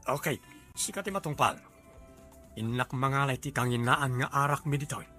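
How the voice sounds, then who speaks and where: A woman playing an obliging but scheming bar waiter in an audio soap drama, agreeable and smooth-talking. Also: rumbling low, moderate pace, a bit bright. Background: background music.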